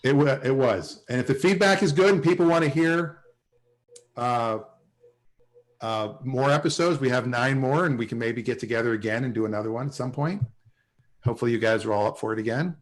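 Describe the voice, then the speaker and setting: A man hosting a relaxed group chat over a video call, speaking conversationally. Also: medium pitch, a little fast, low-quality recording. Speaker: host of an online show.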